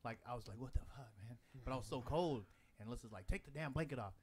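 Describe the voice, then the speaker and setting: A man telling a rambling, relaxed story in casual podcast chat. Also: mid-range voice, fast. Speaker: podcast co-host.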